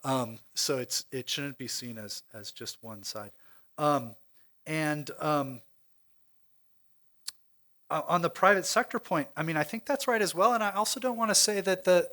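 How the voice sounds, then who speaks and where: American guy on a panel, talks it through out loud, lots of um and i mean. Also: fairly high pitch, moderate pace. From United States. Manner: thinking aloud, many restarts and fillers. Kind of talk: conference panel discussion. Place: lecture hall at a conference.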